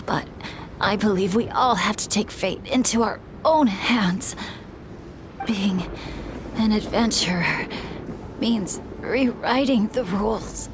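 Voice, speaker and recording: A woman voicing a defiant young boy adventurer in a video game, earnest and breathy with halting resolve. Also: mid-range voice, background noise, close to an everyday voice. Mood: weary defiance. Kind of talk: dramatic video game acting.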